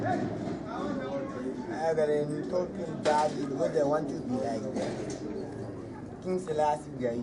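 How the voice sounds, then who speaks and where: A man's voice: a little high, animated, slow, pauses often. A Zimbabwean man with a Zimbabwean accent, talking in an erratic, boastful way in a rambling street interview. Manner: boastful, erratic, jumps between topics. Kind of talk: rambling street interview, switching between Shona and English. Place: outdoors in a busy urban street. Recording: loud crowd noise outdoors.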